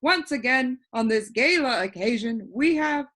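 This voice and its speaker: A woman's voice: fairly high pitch, lively intonation, unhurried, fairly bright tone, pitched above the performer's own voice. A woman as a grand, sorrowful storybook king. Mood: commanding. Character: grand, commanding yet sorrowful grown male storybook king.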